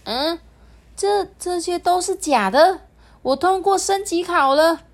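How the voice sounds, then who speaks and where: A woman voicing a young, stubborn, kind-hearted fox fairy in a high, loud, pleading voice. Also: a little slow. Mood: amazed.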